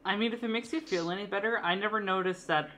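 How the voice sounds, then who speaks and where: A woman's voice: mid-range voice, fast talker, a bit bright. A woman chatting casually and laughing easily, with a laid-back, self-deprecating way of talking. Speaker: streamer drawing a guest. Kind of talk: casual chat.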